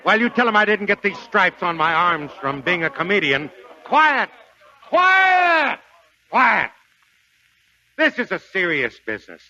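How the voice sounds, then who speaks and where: An actor playing a gruff, blustering middle-aged American Marine sergeant in a 1940s radio drama, with folksy, emphatic delivery. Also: male voice, sits high, very animated, measured pace, long pauses, sharp tone, full character voice. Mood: barking, exasperated. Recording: dull 1940s radio broadcast recording.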